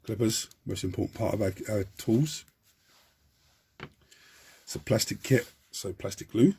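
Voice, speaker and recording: A man hosting a model-building tutorial, talking in a chatty, rambling way with a croaky, hoarse voice and many restarts. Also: lowish voice, a little mellow. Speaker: hobby channel host.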